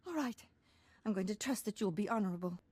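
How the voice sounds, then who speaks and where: A woman's voice: medium pitch, animated, quick pace, a little mellow, dry recording, close to an everyday voice. An actress playing a haughty, easily rattled aristocratic lady in a video game, refined and dramatic. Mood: pleading. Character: haughty young aristocratic lady, anxious and pleading when threatened. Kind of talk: video game cutscene acting.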